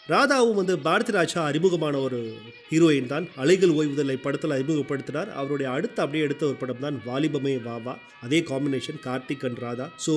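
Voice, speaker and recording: A man presenting a film song radio show in a conversational way, mixing English words into his speech. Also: fairly high pitch.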